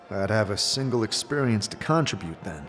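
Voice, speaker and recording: Male narrator as world-weary wandering adventurer, grave, wry, fairly deep, deliberate delivery, faint music. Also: animated, a bit bright, long reverb tail. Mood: grim, deliberate. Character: amnesiac male adventurer recounting grim horrors, wry. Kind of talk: fantasy audio drama acting.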